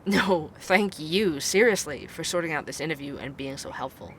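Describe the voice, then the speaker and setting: A man's voice: fairly high pitch, moderate pace, a bit bright, slight background noise. An actor playing an earnest, curious young man who hosts and narrates an investigative podcast in an audio drama. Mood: impressed, grateful.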